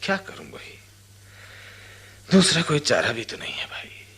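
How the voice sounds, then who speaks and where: An actor in a radio drama playing a poor, resigned labourer, a sympathetic friend who speaks in a confiding, plaintive way. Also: male voice, a little high, sing-song, measured pace, a bit bright, breathy edge, in a whisper, full character voice.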